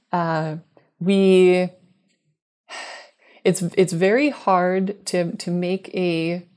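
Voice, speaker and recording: A woman's voice: fairly low pitch, a little mellow. A woman in her thirties speaking as a guest scientist on a video call, explaining technical matters carefully and correcting herself as she goes. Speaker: guest scientist, exoplanet researcher. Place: small indoor room, video call. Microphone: wired earbuds headset on a video call.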